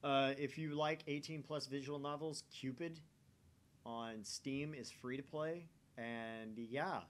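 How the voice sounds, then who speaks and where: A man's voice: medium pitch, steady tone, slow. A man hosting a gaming playthrough, chatting casually and informally. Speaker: gaming channel host reading a visual novel aloud.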